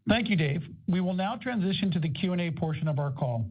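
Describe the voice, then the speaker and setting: A man's voice: sits high, lively intonation, fast. A man moderating a corporate conference call in a formal, scripted way. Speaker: call moderator, investor relations host. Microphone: conference call line. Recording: thin phone-like conference line.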